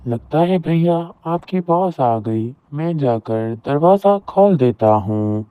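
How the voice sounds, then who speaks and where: A man's voice: medium pitch, expressive, slow pace, slight background noise. A man playing an eager, obliging younger brother in a cartoon moral story. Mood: eager, helpful. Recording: roomy echo, very thin phone-like audio.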